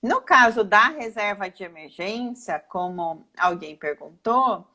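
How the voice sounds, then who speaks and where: A woman with a Brazilian accent speaks at a middle pitch. She explains in an informal, teacherly way, often asking a question and then answering it herself, as an expert talking to a live online audience. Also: animated, a little slow, sharp tone. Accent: Brazilian Portuguese. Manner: didactic, poses questions and answers them herself, acts out sample replies.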